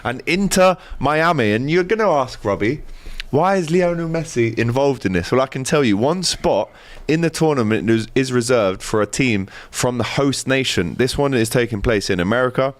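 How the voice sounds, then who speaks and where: A man's voice: mid-range voice, expressive, normal speed, hardly any pauses, no room sound. A man co-hosting a football chat, upbeat as he runs through lists of teams. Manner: upbeat, reads lists of team names. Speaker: co-host.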